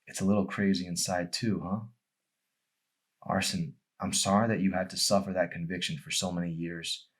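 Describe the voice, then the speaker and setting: A man's voice: low voice, flat delivery, normal speed. A man voicing a hurt, emotional young girl in an audiobook, with an emphatic, pleading manner. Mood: gentle.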